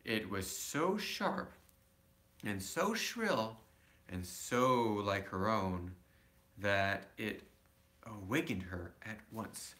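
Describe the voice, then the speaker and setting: A man's voice: fairly low pitch, expressive, very slow, sharp tone. A man reading a children's storybook aloud as a father, adding simple, casual asides to his child.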